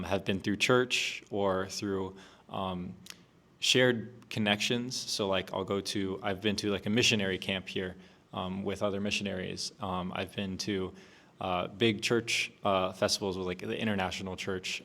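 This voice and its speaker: A man's voice: on the low side, bright, forceful tone. A young man, chatting casually on a student panel, talking with many fillers and restarts. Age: young adult. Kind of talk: casual discussion.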